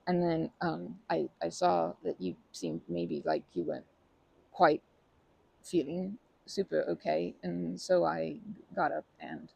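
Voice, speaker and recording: A woman performs a timid, gentle park regular offering comfort in an intimate ASMR roleplay. The voice is soft and dark-toned, husky and full of gravelly creak. The delivery is hesitant, with stammers and nervous self-corrections throughout. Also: sits low, lively intonation, takes it slowly, no room sound, highs cut off, exaggerated voice. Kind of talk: ASMR roleplay, improvised-sounding intimate monologue.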